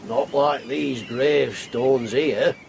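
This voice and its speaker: A very old Yorkshire sailor for radio drama. He is garrulous and blunt, middling in pitch, unhurried and folksy, with faint noise around him. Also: steady tone, creaky, a little mellow. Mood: ominous. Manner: folksy, rambling, muttering asides. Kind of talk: period radio drama character acting.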